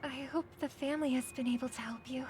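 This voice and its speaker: A woman playing a gracious, compassionate young woman in a video game, soft, courteous and measured. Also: high voice, fairly even intonation, noisy background, close to an everyday voice. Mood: sympathetic. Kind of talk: scripted video game dialogue. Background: game music.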